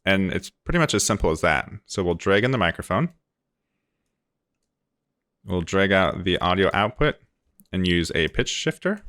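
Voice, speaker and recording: A man with a low voice walks through a software tutorial step by step. His delivery is informal and easygoing, and the close voice-over sound is very dry. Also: big pitch swings, normal speed, long pauses, no echo. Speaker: tutorial presenter. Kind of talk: casual screen-recorded software tutorial.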